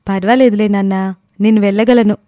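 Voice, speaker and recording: A woman's voice: mid-range voice, steady tone, a little fast, no room sound, muffled recording, lightly acted. A woman playing a composed, earnest young woman in a family story. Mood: reassuring. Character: composed young wife and daughter in a family tale. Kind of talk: acted story dialogue.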